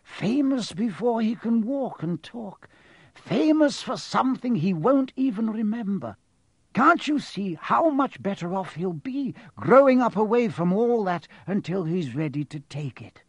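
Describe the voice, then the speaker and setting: A man voicing a wise old wizard in an audiobook, calm and firm, with slow, deliberate delivery. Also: sits high, breathy edge, no echo, voice pushed up, heavily acted. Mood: firm, earnest. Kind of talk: audiobook character voice.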